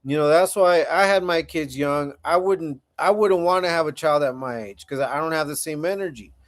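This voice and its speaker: A man's voice: a little high, moderate pace. A man in his forties hosting a casual livestream, chatting laid-back with viewers and often repeating himself. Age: 40s. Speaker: livestream host.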